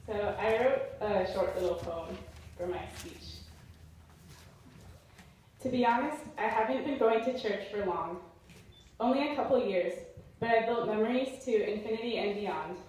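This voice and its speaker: A woman's voice: medium pitch, measured pace, pauses often, darkish tone, a little hiss or hum. A young woman in her late teens reciting a prepared rhyming poem as a graduation speech. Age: late teens, young adult. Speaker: graduating senior giving a speech. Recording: very echoey hall, distant mic.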